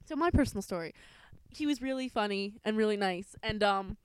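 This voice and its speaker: Chatty young woman with a smooth voice. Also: mid-range voice, brisk, no room sound, poor audio. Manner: chatty, rambling with fillers and restarts. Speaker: podcast co-host. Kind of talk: casual chat.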